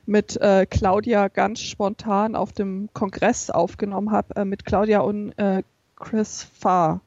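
A podcast co-host in casual chat, supportive and quick to correct details. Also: mid-range voice, little pitch movement, a little mellow, no echo, lo-fi sound.

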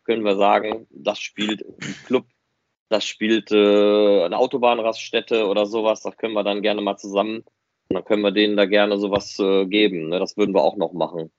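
Low-voiced man in muffled podcast chat. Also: little pitch movement, normal speed, no room sound. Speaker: fan podcast host. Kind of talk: casual unscripted podcast chat. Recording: muffled, dry, band-limited audio.

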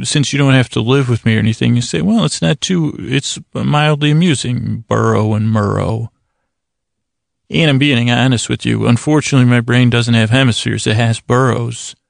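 A man hosting a sleep podcast, rambling in a halting, meandering way, repeating words and restarting his sentences. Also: medium pitch, vocal fry, dry recording, clean studio sound. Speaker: podcast host. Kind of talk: rambling bedtime monologue to lull listeners to sleep.

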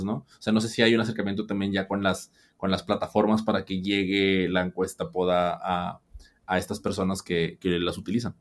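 A man co-hosting a podcast, asking long questions that are full of restarts and fillers. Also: fairly low pitch. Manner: hesitant, many restarts and "o sea".

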